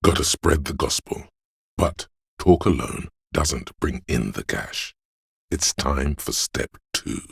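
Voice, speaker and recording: A man playing a slick, money-obsessed inner voice in a video game, a cajoling, flamboyant hype man with streetwise swagger and a gravelly delivery. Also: lively intonation, slow, a bit bright, dry recording, clean studio sound, full character voice. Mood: hustling hype. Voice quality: gravelly, very deep. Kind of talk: theatrical video game character monologue.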